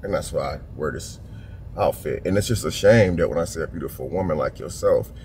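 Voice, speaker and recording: A middle-aged man from Indiana, hosting a prank, talking in a playful, mock-earnest, smooth manner inside a parked car. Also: a bass voice, a little fast, a little mellow, slight background noise. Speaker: prank show host. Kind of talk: in-character prank chat. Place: outdoors on a street, then inside a parked luxury car.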